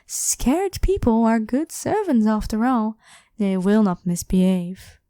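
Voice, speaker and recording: A woman's voice: fairly high pitch, sing-song, slow pace, dark tone, smooth, dry recording, studio quality. A woman voicing an evil ancient pharaoh in an ASMR roleplay, imperious, demanding and scornful as she addresses the listener. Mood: outraged, cruel. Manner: haughty, commanding, theatrically scornful.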